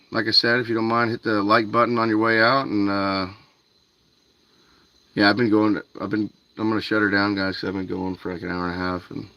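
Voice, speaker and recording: A man with a fairly low voice hosts a fishing livestream late at night. He talks in a relaxed, rambling way, reads out viewers' names and says "dude" often. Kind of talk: casual livestream chat with viewers. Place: outdoors by a canal at night.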